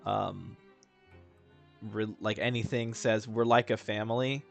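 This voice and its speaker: A man's voice: lowish voice, fairly even intonation, unhurried, creaky. A man giving casual, slangy commentary on a video game and reading its text aloud with joking asides. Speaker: game streamer. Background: faint game music.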